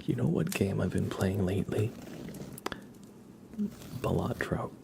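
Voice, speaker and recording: A man hosting an ASMR livestream, chatting casually and softly to viewers in an unhurried way, close to the microphone. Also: fairly low pitch, expressive, gravelly, background noise. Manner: soft-spoken, mostly whispering, unhurried.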